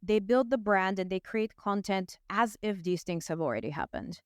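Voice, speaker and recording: A woman's voice: medium pitch, lively intonation, normal speed, clean tone, dry recording, clean studio sound. A woman in her thirties from Bulgaria with a Bulgarian accent, a guest talking candidly and animatedly in a casual interview, often laughing. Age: 30s. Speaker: guest, brand strategist.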